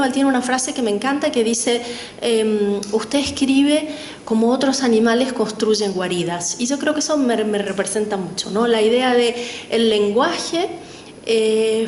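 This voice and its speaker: A middle-aged woman with an Argentine accent speaks as a panel guest writer, thoughtful, pausing with fillers and tag questions. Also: fairly high pitch. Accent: Argentine Spanish. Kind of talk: reflective talk at a literary panel. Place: lecture hall. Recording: echoey room with faint noise.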